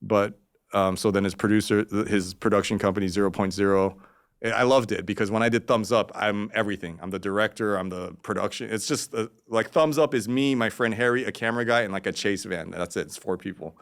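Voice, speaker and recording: A man's voice: on the low side, fairly quick. A man from Los Angeles, a podcast guest, telling stories in a casual, profane, rambling way with frequent restarts. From Los Angeles, Koreatown. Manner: acts out quoted voices. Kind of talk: casual storytelling.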